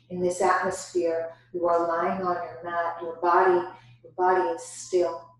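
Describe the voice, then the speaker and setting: A woman leading a guided yoga nidra meditation as instructor, giving deliberate instructions broken by pauses. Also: lowish voice, slight background noise. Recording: very echoey room, distant microphone.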